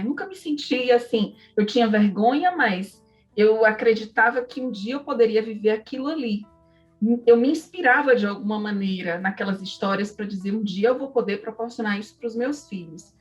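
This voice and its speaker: A woman's voice: on the high side, animated, moderate pace. Northeastern Brazilian woman, distant mic over music. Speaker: interview guest, psychology professor. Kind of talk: conversational interview, personal storytelling.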